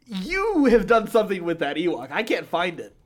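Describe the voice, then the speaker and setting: A man, an animated and opinionated podcast co-host chatting casually as he recaps TV shows. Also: high voice, moderate pace.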